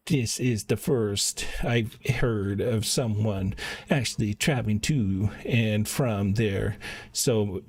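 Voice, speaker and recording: A man voicing a fussy, self-important scholar from a fantasy game, reading the wordy dialogue a little haltingly. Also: on the low side. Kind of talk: game quest dialogue read aloud.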